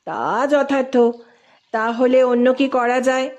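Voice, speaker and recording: A woman's voice: sits high, takes it slowly, darkish tone. A woman voicing a courteous, deferential, shrewd young male teacher in a story reading. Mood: agreeable.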